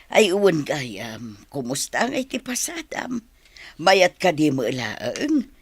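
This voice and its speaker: A woman acting in a radio drama as a caring older mother, with a drawn-out, motherly delivery. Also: low-pitched, wildly swooping pitch, husky, no room sound, exaggerated voice. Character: caring older mother, gentle, attentive to her grown son.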